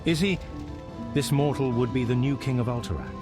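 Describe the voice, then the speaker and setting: A man playing a grave, determined mage in a fantasy game, with formal, measured, earnest phrasing. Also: mid-range voice, lightly acted. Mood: earnest persuasion. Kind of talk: fantasy game cutscene acting. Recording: music behind the voice.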